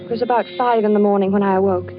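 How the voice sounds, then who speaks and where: A woman's voice: mid-range voice, steady tone, rapid-fire, natural voice. Earnest, frightened wife-narrator, played by a woman; muffled vintage radio sound with music underneath. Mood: somber. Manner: earnest, anxious storytelling. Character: frightened wife narrating her husband's death. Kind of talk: 1940s radio acting.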